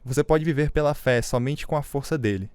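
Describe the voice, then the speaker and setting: Actor as clear-voiced Brazilian spiritual adviser. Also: male voice, mid-range voice, a little fast, darkish tone, no room sound, lightly acted. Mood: assured. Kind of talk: radio drama dialogue.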